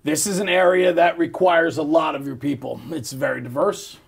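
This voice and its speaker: A man's voice: a little high, fairly even intonation, normal speed, creaky, slightly rough. An American man hosting an interview in a conversational style. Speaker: interview host.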